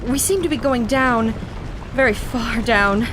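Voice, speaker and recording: A woman's voice: a little high, measured pace, background noise, lightly acted. A woman playing an earnest adventuring reporter who narrates into a recorder in an audio drama. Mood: awed, reporting.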